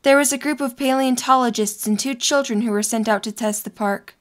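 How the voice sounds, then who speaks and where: Slightly high-voiced young woman narrator. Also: a little fast. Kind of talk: scripted story narration.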